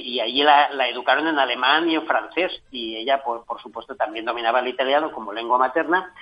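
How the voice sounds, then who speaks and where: Man, book reviewer, eager, restarts often, dull radio sound. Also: fairly high pitch. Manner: enthusiastic, frequent restarts. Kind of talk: casual radio book chat.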